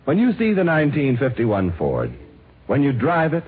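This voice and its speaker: A man's voice: wildly swooping pitch, normal speed, a little hiss or hum. An American man reading a scripted 1950s radio advertisement as an emphatic, polished commercial announcer. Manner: emphatic, polished sales pitch. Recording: muffled, phone-like vintage radio recording.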